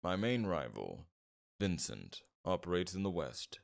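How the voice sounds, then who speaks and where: A very deep-voiced, smug mob boss played by a man in an audio roleplay. He talks with heavy fry, suave and teasing, flirting freely while keeping a menacing edge beneath it. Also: very animated, heavily acted. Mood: businesslike. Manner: teasing, suave, drawn-out flirtation with veiled threats. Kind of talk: ASMR roleplay, scripted character acting.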